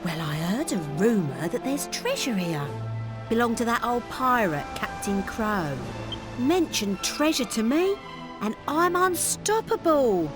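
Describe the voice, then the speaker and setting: Cheeky young female treasure hunter, English accent, animated game delivery, music underneath. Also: on the high side, unhurried. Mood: cheeky, boastful. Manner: slangy, brash, teasing. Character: cocky, teasing young female treasure-hunting thief. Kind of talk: video game cutscene acting.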